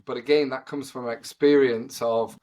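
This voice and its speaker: A man in his forties with a Geordie accent, a podcast guest who rambles with lots of pauses and "you know". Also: mid-range voice, fairly even intonation, a little mellow. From North East England. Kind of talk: casual podcast conversation.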